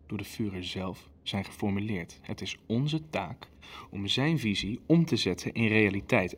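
An actor playing an impatient, commanding Nazi official who runs a meeting in a radio play. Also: male voice, on the low side, breathy edge. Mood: stern, controlled.

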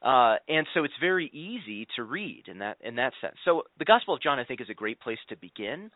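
A man's voice: medium pitch, sing-song, brisk, no room sound, narrow, tinny audio. A man explaining as a guest scholar in an interview, in a teacherly way, often checking in with "right?". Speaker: guest scholar, professor of scripture.

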